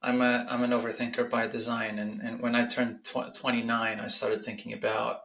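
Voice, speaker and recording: A man's voice: medium pitch, little pitch movement, creaky, a bit bright. A man in his thirties hosting a podcast interview, asking long, chatty questions full of fillers and asides. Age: 30s. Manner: quick, chatty, many fillers. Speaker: podcast host.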